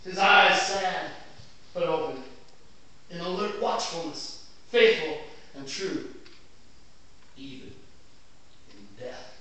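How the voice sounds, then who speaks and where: Casting: man as solemn, theatrical barrister, bright high voice, orating in an echoey hall. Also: expressive, takes it slowly, long pauses, heavily acted. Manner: grandiloquent, declamatory, solemn. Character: impassioned male lawyer pleading to a jury. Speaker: stage actor. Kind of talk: theatrical stage oratory. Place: indoor theatre stage. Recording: echoey theatre, distant mic, faint noise.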